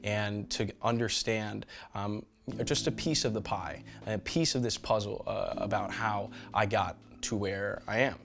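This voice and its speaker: A man's voice: lowish voice, measured pace, creaky, few pauses, fairly bright tone. A young adult man speaking reflectively in conversation, in a thoughtful, slightly hesitant way. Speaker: photographer interviewing his great-aunt.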